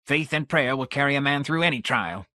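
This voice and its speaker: A man voicing a cold, pious old man who speaks quietly and frigidly. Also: mid-range voice, lively intonation, fairly quick, no echo, studio quality, pitched above the performer's own voice.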